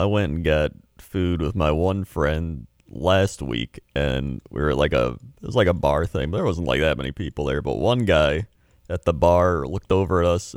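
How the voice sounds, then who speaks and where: A man's voice: deep voice, no room sound, studio quality. A man co-hosting a casual podcast, talking in a laid-back, droll way. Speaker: podcast co-host.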